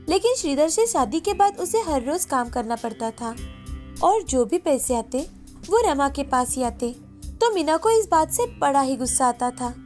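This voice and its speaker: A woman's voice: high-pitched, darkish tone. A woman narrating a scripted moral story as storyteller.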